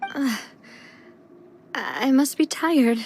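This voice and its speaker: A woman's voice: sits high, extremely slow, darkish tone, slight background noise, full character voice. A woman playing an earnest, dutiful young noblewoman in a video game. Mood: dazed, uncertain.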